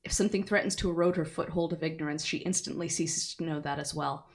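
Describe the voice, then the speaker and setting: A woman voicing a cryptic cloaked androgynous entity who speaks slowly, quietly and gravely. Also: deep voice, steady tone.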